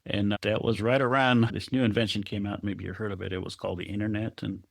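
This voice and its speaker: A man's voice: lowish voice, fairly even intonation, quick pace, vocal fry, no echo. A middle-aged man from Israel, a photographer, telling stories thoughtfully in an interview and laughing often. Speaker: guest photographer. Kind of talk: reflective conversational interview.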